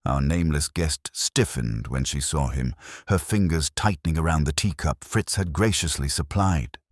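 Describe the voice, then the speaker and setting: A man's voice: rumbling low, animated, moderate pace, dry recording, studio quality. A man narrating a first-person detective story in a wry, hard-boiled, scripted manner. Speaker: audiobook narrator.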